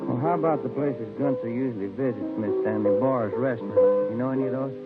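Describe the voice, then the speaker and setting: Through a thin, tinny vintage radio recording comes a man playing an American detective's sidekick. He has a mid-pitched voice and talks in a polite, low-key, conversational way, with music behind him. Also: quick pace, lightly acted. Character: easygoing, polite male police detective sidekick. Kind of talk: 1950s radio drama acting.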